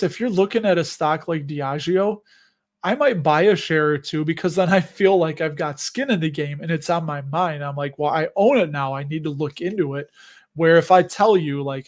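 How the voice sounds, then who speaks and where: A middle-aged man with a Chicago accent hosts a casual investing livestream, answering viewer questions in a rambling, chatty way and laughing at his own asides. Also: fairly high pitch. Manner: rambling and chatty, with frequent restarts and self-amused laughs. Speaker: host of a dividend investing livestream.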